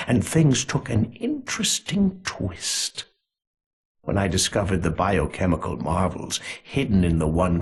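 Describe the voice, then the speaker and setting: An actor voicing a smugly menacing, gleefully cruel conspirator in a video game, delivering a deliberate, theatrical monologue with relish. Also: male voice, deep voice, slightly rough, heavily acted. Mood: gloating. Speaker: villain character in a video game. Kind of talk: scripted villain monologue.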